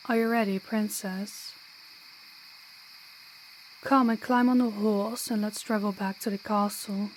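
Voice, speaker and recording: Young princess, played by a woman, mid-pitched, intimate audio roleplay delivery, birdsong and light noise behind. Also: little pitch movement, measured pace, pauses often, natural voice, rough recording. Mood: attentive, gentle. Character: young rival princess, daughter of a stern king.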